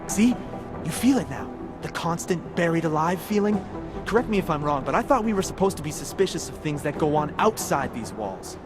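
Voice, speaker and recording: An actor playing an anxious, sardonic covert agency colleague in a video game cutscene, nervous and wry. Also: male voice, on the high side, big pitch swings, a little fast, over music. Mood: urgent, fretful.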